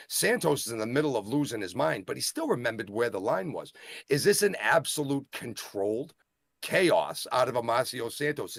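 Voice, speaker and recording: Rambling excitable Boston man. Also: mid-range voice, creaky, no echo, studio quality. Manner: rambling, self-interrupting, excitable. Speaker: wrestling show co-host. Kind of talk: casual wrestling review chat.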